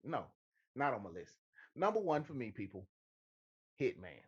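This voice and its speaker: A man's voice: mid-range voice, sing-song, moderate pace, husky, lo-fi sound. A man co-hosting a show, bantering casually with emphatic, teasing delivery and repeated phrases. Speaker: podcast co-host.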